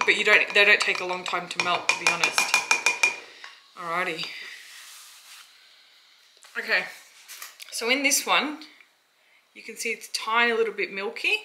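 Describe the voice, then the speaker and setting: An Australian woman, around her thirties, talking informally and thinking aloud as she demonstrates a craft in a casual how-to vlog. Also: mid-range voice. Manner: informal, chatty, thinking aloud while working. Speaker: vlogger and small soap-business owner. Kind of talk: casual how-to vlog, explaining while working. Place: small indoor home workshop. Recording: clear background noise, dish and pot clatter.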